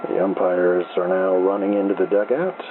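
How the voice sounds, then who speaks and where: A man playing an unflappable small-town baseball radio announcer, calling play-by-play in an unhurried deadpan over a noisy, thin AM broadcast. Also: deep voice, phone-quality sound, natural voice. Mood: deadpan calm. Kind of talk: slow old-time baseball radio play-by-play.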